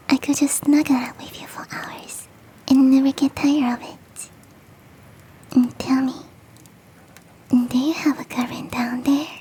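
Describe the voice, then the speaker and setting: A woman voicing a big, fluffy, motherly and flirty yeti in a soft, teasing, whispered ASMR roleplay. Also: sits high, a little hiss or hum, low-quality recording. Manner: tender, teasing, coaxing.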